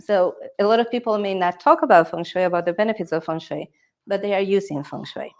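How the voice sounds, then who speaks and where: A woman's voice: medium pitch, a little fast. A woman from Ecuador, giving a live workshop presentation as a feng shui consultant. She speaks conversationally and often checks in with the audience through tag questions.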